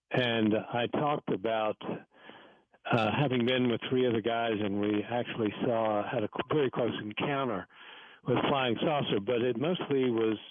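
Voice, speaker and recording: Male talk show caller, medium pitch, hesitant storytelling, narrow telephone sound. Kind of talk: hesitant personal account. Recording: narrow phone line.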